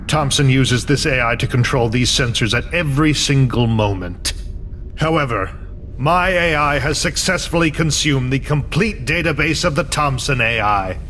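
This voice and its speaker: A man's voice: mid-range voice, slight background noise, close to an everyday voice. A man playing a vengeful, coldly fanatical imprisoned scientist in a video game, speechifying in a grandiose, declamatory way. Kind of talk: dramatic video game cutscene acting. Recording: dramatic music bed under voice. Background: loud music.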